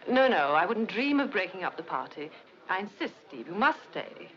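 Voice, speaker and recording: An actress playing a bored, bitterly sarcastic scientist's wife in 1950s British film style, with a mock-sweet manner. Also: female voice, medium pitch, sing-song, moderate pace, natural voice. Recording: muffled old film soundtrack, party chatter.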